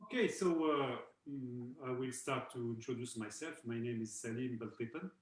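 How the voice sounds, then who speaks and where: A man's voice: mid-range voice, moderate pace, echoey room. A man from eastern Algeria, a percussionist guest chatting casually and hesitantly on a video call, with many restarts. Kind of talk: casual online chat.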